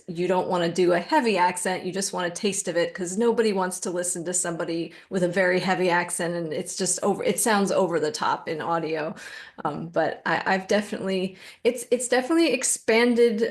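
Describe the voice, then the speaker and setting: A woman's voice: fairly low pitch, brisk. A woman audiobook narrator talking chattily and self-deprecatingly on a panel. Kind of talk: panel chat.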